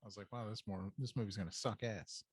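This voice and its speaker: A man's voice: on the low side, steady tone, fast. A man co-hosting a podcast in casual, nostalgic chat, talking in slang and swearing freely. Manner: profane, slangy. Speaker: podcast co-host.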